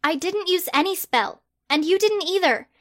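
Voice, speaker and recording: A woman's voice: very high-pitched, lively intonation, moderate pace, fairly bright tone, no echo, pitched above the performer's own voice. A woman voicing a sarcastic, exasperated schoolgirl who gives dry, incredulous retorts.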